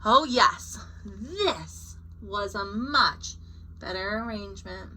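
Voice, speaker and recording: A woman's voice: medium pitch, takes it slowly, a little hiss or hum, low-quality recording. A woman reading a picture book aloud as a storyteller, expressively and with informal asides. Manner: expressive, acting out short character quotes.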